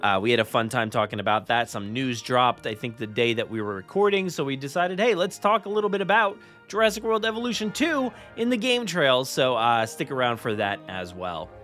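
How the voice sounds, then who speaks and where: A man's voice: mid-range voice, brisk, hardly any pauses, fairly bright tone, soft background music. A man hosting a podcast, chatting casually and informally in a rambling way. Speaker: podcast host. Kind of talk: casual chatty show intro.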